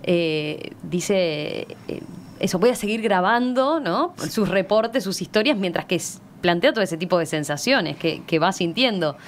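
A woman hosts a radio interview in a slightly low voice. She builds questions haltingly, searching for words, with noticeable background noise around her. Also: animated. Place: radio studio. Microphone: studio microphone.

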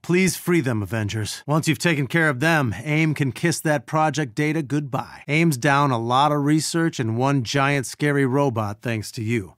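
A man's voice: mid-range voice, normal speed, few pauses, fairly bright tone, dry recording, studio quality, natural voice. Male game role, played by an actor: earnest scientist and resistance leader briefing a hero squad over comms, natural delivery. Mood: grateful. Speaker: mission support over comms. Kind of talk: video game voice acting.

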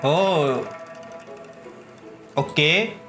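A woman's voice: low voice, extremely slow. A woman streamer giving casual, chatty, teasing reaction commentary on a game. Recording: game music under the voice.

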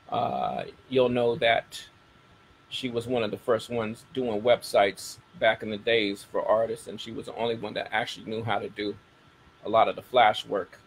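A man's voice: medium pitch, creaky, slight background noise, low-quality recording. A middle-aged American man hosting a show, talking casually to his audience and repeating key phrases for emphasis. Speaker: radio show host. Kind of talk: casual talk to a broadcast audience.

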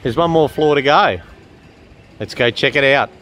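A man giving casual, unhurried walk-around commentary as a travel vlogger, chatting about what he observes. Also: medium pitch, a bit bright. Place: walking around an open-air shopping mall. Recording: outdoor urban and traffic noise.

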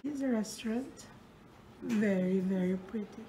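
A woman's voice: medium pitch, normal speed, noisy background. A woman filming a casual restaurant vlog on a handheld phone, chatty and laughing often. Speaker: vlogger. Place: busy restaurant indoors. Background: crowd chatter.

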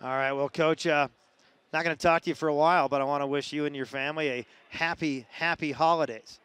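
Male radio sports host, post-game interview. Crisp, dry sound, wordy and halting delivery. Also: a little high, steady tone, fairly bright tone, no room sound.

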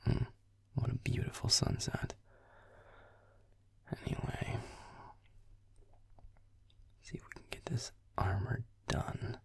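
Man playing a grumpy, low, gravelly half-whispering boyfriend. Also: heavily acted. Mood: bored muttering. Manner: grumbling, irritated, sweary muttering. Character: grumpy sarcastic werewolf boyfriend, tsundere, gaming. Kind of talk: roleplay audio, improvised gameplay muttering.

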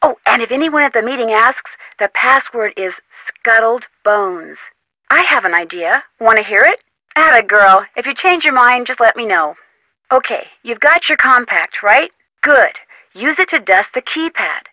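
A woman's voice: fairly high pitch, lively intonation, moderate pace, sharp tone, no room sound, rough recording. An actress voicing a young woman friend in a video game, chatty and encouraging. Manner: chatty, encouraging, over the phone. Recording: dry studio voice-over.

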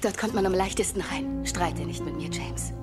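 An actress plays a resigned, defiant lover in a spy film. Her voice sits at a medium pitch, under loud music. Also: normal speed, lightly acted. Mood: resigned, urgent. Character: media mogul's resigned, defiant wife and spy's lover. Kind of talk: German-dubbed film acting. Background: loud film score.

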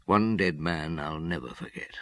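An actor in a radio drama playing a brooding, guilt-ridden police detective who tells things in a reflective, halting way. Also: male voice, extremely low pitch, gravelly, bright, forceful tone, full character voice. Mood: haunted, reflective. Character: brooding, guilt-ridden police detective, world-weary middle-aged man.

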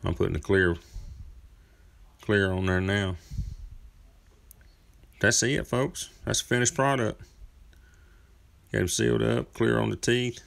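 A man's voice: fairly low pitch, fairly even intonation, slow pace, vocal fry, long pauses, fairly bright tone. A man in his thirties, a chainsaw carver, talking through his outdoor work in a laid-back, folksy, rambling way. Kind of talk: casual workshop narration. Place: outdoor driveway workspace.

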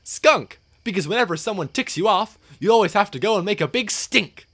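A man's voice: high voice, expressive, brisk, music in the background, pitched above the performer's own voice. A man voicing a cheeky, teasing young engine in a storybook tale. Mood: gleefully teasing. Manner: playful, teasing.

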